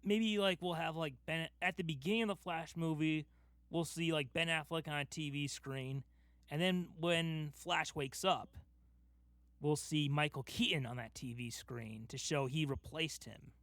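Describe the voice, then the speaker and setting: Emphatic, higher-pitched man podcasting. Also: measured pace, fairly bright tone, dry recording. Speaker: podcast host. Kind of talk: casual fan discussion.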